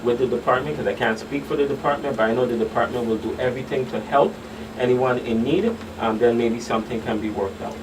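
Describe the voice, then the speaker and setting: A man's voice: mid-range voice, steady tone, fast. A man speaking as a guest expert in a casual radio discussion, emphatic and given to repeating phrases. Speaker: guest expert on a talk show. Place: radio studio. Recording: distant mic, noticeable background noise.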